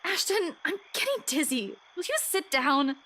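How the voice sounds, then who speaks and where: A woman voicing a shaken, soft and gentle young woman from a visual novel. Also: sits high, very animated, unhurried, voice pushed up, heavily acted. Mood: weary, pleading.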